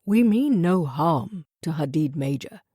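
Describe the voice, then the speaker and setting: A woman's voice: sits low, expressive, measured pace, warm tone, breathy edge, no room sound, clean studio sound, heavily acted. A woman voicing a pompous school official. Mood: formal. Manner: pompous, formal, evasive. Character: smooth evasive older male school official.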